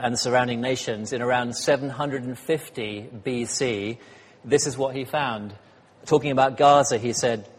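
Husky-voiced man preaching in a hall. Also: mid-range voice. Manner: chatty, self-deprecating, many ums and uhs. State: slightly hoarse. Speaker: guest speaker. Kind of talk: sermon with light humor. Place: church hall. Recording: church hall reverb.

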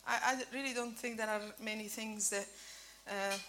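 A woman's voice: fairly high pitch, fairly even intonation, moderate pace, pushed and bright. A woman in her fifties, a native Italian speaker with an Italian accent touched by Scottish, giving an informal sermon at a church breakfast with many fillers and restarts. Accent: Italian with a bit of Scottish. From Italy. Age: 50s.

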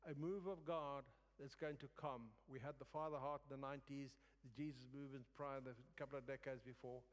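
A male preacher with a slightly high voice delivers a sermon in a reverberant hall. He moves haltingly through his thoughts, often repeating a phrase to drive it home. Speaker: guest preacher. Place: church service in a large room.

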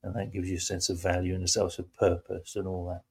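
A man hosting a relaxed faith-based chat, talking reflectively with gentle emphasis. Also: sits low, quick pace, breathy edge.

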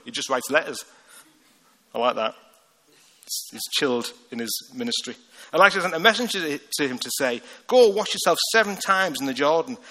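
Man, Liverpool accent, preacher, very bright voice, fairly high, lively and jokey, long hall reverb. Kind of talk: sermon with Bible reading.

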